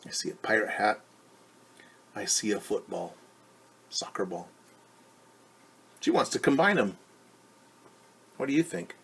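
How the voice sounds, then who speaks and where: A man reading a picture book aloud as a teacher, in a story time style, pausing to explain and wonder aloud for young listeners. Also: lowish voice, big pitch swings, creaky, a bit bright, husky. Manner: stumbles over and corrects words when reading Spanish. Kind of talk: bilingual story time with commentary.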